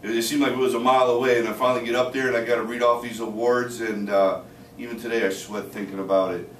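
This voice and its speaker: A man in his thirties giving a student speech, talking plainly with many "uh" fillers and rushing in spurts. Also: mid-range voice, flat delivery, a bit bright, a little hiss or hum. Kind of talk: informal classroom speech. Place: small room with a few listeners. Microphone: camera across the room. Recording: echoey room from far off.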